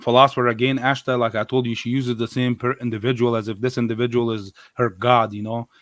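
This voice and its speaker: A man's voice: medium pitch, little pitch movement, quick pace, dry recording. Man arguing casually, close dry sound. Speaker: guest commentator on a religious live show. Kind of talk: casual argumentative discussion.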